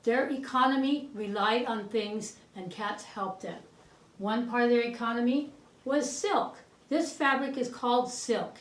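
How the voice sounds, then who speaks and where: Higher-voiced woman teaching in an echoey room. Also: measured pace. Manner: simple short sentences, poses questions to listeners. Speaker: co-presenter. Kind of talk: educational talk for children. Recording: roomy indoor echo.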